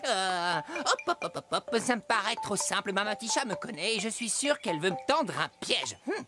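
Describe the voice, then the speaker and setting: A woman's voice: medium pitch, animated, normal speed, lightly acted. A woman voicing a cheeky, greedy young trickster in a children's cartoon, exclaiming in an exaggerated, playful way. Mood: sly, excited. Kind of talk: French children's cartoon acting. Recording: music behind the voice.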